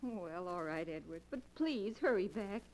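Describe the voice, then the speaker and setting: An actress playing a soft-spoken, affectionate young wife in an old-time radio drama. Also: female voice, lowish voice, animated, unhurried. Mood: tender. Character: gentle ailing young wife, tender. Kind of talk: 1940s radio acting.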